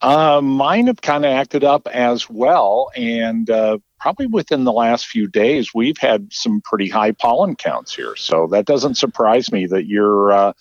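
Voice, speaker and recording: A man co-hosting a radio talk show, chatting casually in an agreeable, measured way. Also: medium pitch, expressive, no room sound, muffled recording. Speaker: radio co-host. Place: radio studio.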